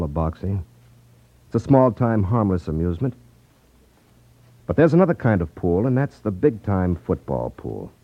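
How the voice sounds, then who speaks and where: A confident, unflappable detective in an old radio drama. His deep voice is close and dry, with a wry edge, on a dull lo-fi recording. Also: no room sound. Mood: confident, explanatory. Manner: glib, unflappable, sardonic. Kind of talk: 1950s radio drama narration and dialogue.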